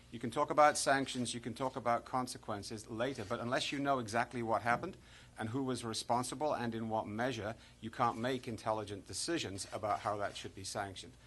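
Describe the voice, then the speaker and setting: A man speaking for a press freedom group, measured and hesitant, answering questions at a press conference. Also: medium pitch, fairly bright tone, slightly rough. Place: press briefing room.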